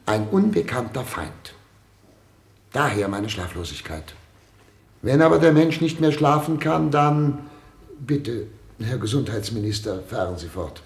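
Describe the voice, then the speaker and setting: An actor playing a pompous, fretful head of state in a German radio play, speaking in an oratorical, theatrical way. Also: male voice, medium pitch, big pitch swings. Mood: grave, self-important. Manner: pompous, oratorical, theatrical throat-clearing and pauses. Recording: roomy, reverberant radio studio sound.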